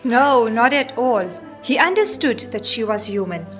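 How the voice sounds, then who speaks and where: High-voiced firm, kindly madrasa teacher. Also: female voice, lively intonation, a little slow, faint music behind. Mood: gently chiding. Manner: gently chiding, instructive. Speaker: Islamic studies teacher. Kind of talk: scripted audio drama acting.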